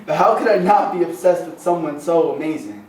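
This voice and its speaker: A man performing slam poetry at an open mic, impassioned and rhythmic, with dramatic pauses and rhymes. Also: a little high, animated. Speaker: slam poet. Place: open mic venue with a small responsive audience. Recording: echoey live room, distant microphone.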